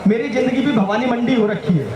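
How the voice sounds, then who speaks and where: A man's voice: extremely high pitch, brisk. A man from Madhya Pradesh performing comic poetry on stage, telling jokes with playful punchlines. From Madhya Pradesh, India. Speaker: stage poet. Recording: echoey live PA sound from far off, crowd noise.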